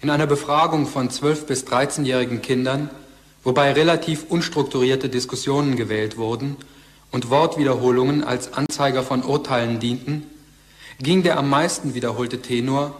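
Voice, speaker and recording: A man plays a scholarly narrator in an audio drama. He reads formal text in a detached way, at a medium pitch. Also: natural voice. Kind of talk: formal scripted narration, documentary-like.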